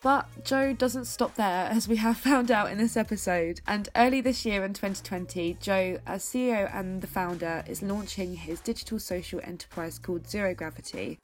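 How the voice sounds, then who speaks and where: A young woman hosting a podcast, speaking with a blocked-up nose from a cold, upbeat as she thanks her guest and closes the episode. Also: mid-range voice. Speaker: podcast host. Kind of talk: podcast outro.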